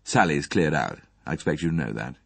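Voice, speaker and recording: A man's voice: rumbling low, lively intonation, fairly quick, gravelly, breathy edge, no echo, pitched below the performer's own voice, exaggerated voice. A man voicing a bitter, angry young man in an audiobook, sardonic and self-pitying, with curt, casual phrasing.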